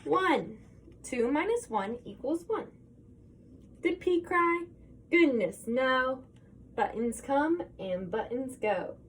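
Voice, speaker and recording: A woman reading a children's picture book aloud in an expressive, sing-song manner, posing questions as if to young listeners. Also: sits high, slow pace, long pauses, slight background noise. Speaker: storybook reader.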